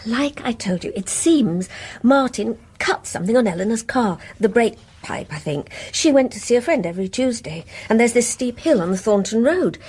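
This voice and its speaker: A woman's voice: on the high side, lively intonation, normal speed, a little mellow, breathy edge. An actress playing a self-doubting married neighbour in a British radio play, reticent and wistful as she confides. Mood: reluctant.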